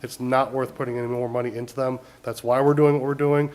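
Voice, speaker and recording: A man chairing a council meeting as mayor, slightly hoarse from a cold, speaking earnestly and repeating points for emphasis. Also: mid-range voice, level tone, quick pace, darkish tone. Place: council chambers.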